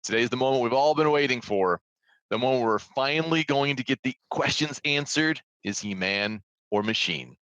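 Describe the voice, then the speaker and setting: A man's voice: mid-range voice, normal speed, dry recording, highs cut off. A man hosting the show and interviewing his co-host, joking around and mocking himself in a playful way. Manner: jokey, animated, self-mocking.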